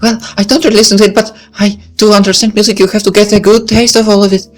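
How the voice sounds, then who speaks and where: Guy doing a shy teen girl, high pitched, earnest n hesitant, visual novel thing, music behind. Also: little pitch movement, fast, natural voice.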